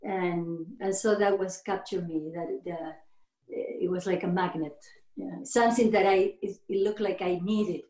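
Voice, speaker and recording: A middle-aged woman from Argentina with an Argentine Spanish accent. She is a yoga teacher being interviewed, speaking halting second-language English with many restarts and frequent laughter. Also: lowish voice, steady tone, dark tone. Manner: laughs often. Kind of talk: casual interview conversation. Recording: roomy echo.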